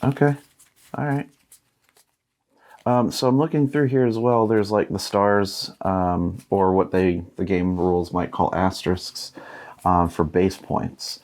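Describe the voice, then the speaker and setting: Man, thirties, low-pitched voice, laid-back, casual unboxing host, thinking out loud. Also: fairly even intonation, unhurried. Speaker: board game unboxing host. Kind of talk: casual unboxing commentary, reading rules aloud.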